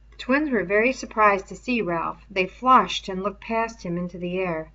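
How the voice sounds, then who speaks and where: A woman's voice: mid-range voice, moderate pace, pushed and bright, lo-fi sound. A woman reading a story aloud expressively, with explanatory asides. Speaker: reader.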